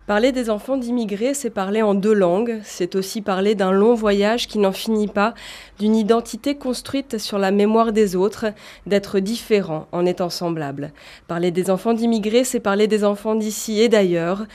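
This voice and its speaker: A woman reading a scripted documentary introduction in a calm, poetic manner. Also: mid-range voice, moderate pace. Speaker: documentary narrator.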